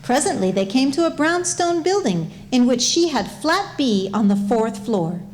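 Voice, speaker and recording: Animated higher-pitched woman storyteller. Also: moderate pace, slight background noise. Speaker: story narrator. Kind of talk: scripted comic story narration.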